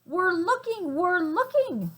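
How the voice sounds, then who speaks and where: A woman's voice: very high voice, very animated, slow pace, fairly bright tone, pitched above the performer's own voice, heavily acted. A woman voicing eager, busy little female monkeys in a storybook reading. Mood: harried.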